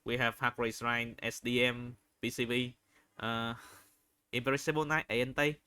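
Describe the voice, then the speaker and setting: Bright-voiced man giving halting commentary. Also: mid-range voice, little pitch movement, smooth, dry recording. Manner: halting, searching for words, repeats phrases. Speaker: video host reviewing game screenshots. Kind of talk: unscripted commentary.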